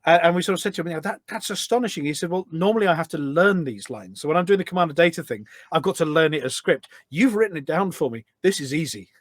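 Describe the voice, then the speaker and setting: A man's voice: fairly high pitch, expressive, fast, no room sound, clean studio sound. A man chatting casually as an interview guest, telling anecdotes in an enthusiastic, talkative way. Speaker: podcast guest, voice pack producer.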